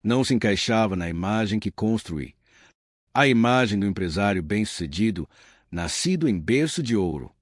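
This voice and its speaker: A man reading the lines of a wealthy businessman, now humbled and reflective, speaking in a measured way. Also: lowish voice, animated, no room sound, clean studio sound.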